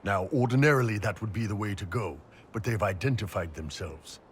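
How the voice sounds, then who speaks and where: An actor playing a big gruff Qunari mercenary captain in a video game, blunt, colloquial and laid-back. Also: male voice, fairly low pitch, a little fast, slight background noise, lightly acted. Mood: matter-of-fact. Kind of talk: video game cutscene acting.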